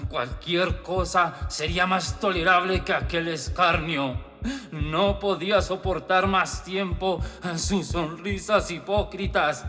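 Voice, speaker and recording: An actor playing a tormented, paranoid murderer in an agitated, halting dramatic radio horror monologue. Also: male voice, high-pitched, bright, forceful tone, full character voice. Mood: anguished frenzy. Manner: agitated, halting, building to frantic outbursts. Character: tormented, paranoid male murderer confessing his crime. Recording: long hall reverb, music underneath. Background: music.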